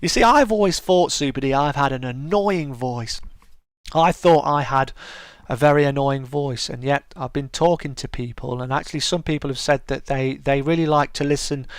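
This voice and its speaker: A man's voice: mid-range voice, normal speed, no room sound, clean studio sound. A middle-aged man with a soothing voice chats casually with his live-stream viewers, friendly and full of restarts. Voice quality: smooth, soothing voice. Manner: chatty and friendly, with many restarts and stammers. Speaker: streamer hosting a live chat. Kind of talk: casual live-stream chat, reading and answering comments.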